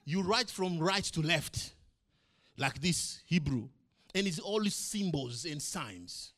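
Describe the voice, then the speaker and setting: A middle-aged man preaches in his church, a pastor whose first language is not English. His slightly high voice leaps up and down with lively emphasis. He fires rhetorical questions, booming one moment and dropping low the next. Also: takes it slowly. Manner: emphatic preaching, rhetorical questions, swings between loud and soft. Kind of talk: sermon.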